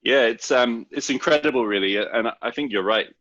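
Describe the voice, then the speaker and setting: A man's voice: medium pitch, normal speed, fairly bright tone, no echo, highs cut off. A man with a Scottish accent, a co-founder guest explaining his ideas at length and thoughtfully over a remote call. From Scotland. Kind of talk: casual interview talk.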